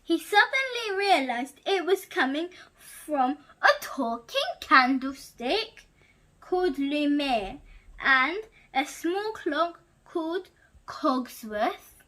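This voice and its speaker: A female storytime host with a high, very lively voice. She reads aloud slowly and expressively, breaking off for excited asides to her audience. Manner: animated, exclaiming reactions.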